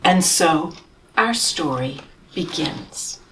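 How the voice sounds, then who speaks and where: A woman reading a picture book aloud to children, gently explaining the pictures and asking them questions. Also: deep voice, expressive, drawn-out words, recorded from a distance, a little hiss or hum, rough recording.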